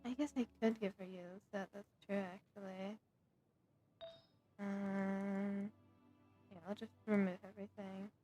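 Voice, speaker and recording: A woman's voice: medium pitch, steady tone, unhurried, pauses often, warm tone, lo-fi sound, soft background music. A woman streamer thinking aloud during gameplay, murmuring hesitantly with many ums. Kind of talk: casual gameplay commentary.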